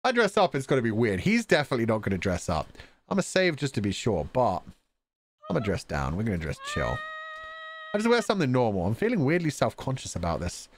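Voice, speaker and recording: A man streaming a game, reading its dialogue aloud with jokey ad-libs in a playful, animated manner. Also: mid-range voice, quick pace, slight background noise. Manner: animated, stammering for effect, jokey. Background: visual novel game music.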